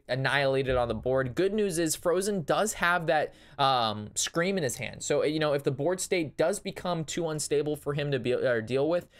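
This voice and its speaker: Young man, card game commentary, excitable, analytical, slightly strained voice. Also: on the high side. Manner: excitable, analytical, talks through plays. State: voice strained from long talking. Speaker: Hearthstone caster reviewing a match.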